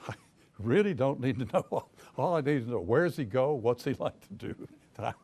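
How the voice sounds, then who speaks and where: An older man with a deep voice and a Southern US accent, a private investigator telling folksy stories to an audience, chuckling as he goes. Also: lively intonation, moderate pace, darkish tone, slightly rough. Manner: folksy storyteller, chuckles often, many restarts. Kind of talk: casual talk to an audience.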